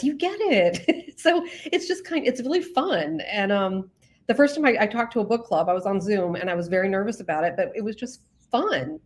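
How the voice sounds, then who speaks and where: A woman's voice: medium pitch, slight background noise. A woman novelist chatting casually as an interview guest, informal and self-effacing, feeling her way through her sentences.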